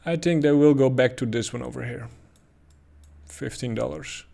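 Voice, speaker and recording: A man with a Dutch accent talks through trading charts at an easy, unhurried clip. His pitch sits in a middle register, and he fills pauses with ums and uhs as he thinks out loud. It sounds like a relaxed voice-over recorded at a home desk. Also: creaky. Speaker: crypto chart analyst. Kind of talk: casual market commentary over charts.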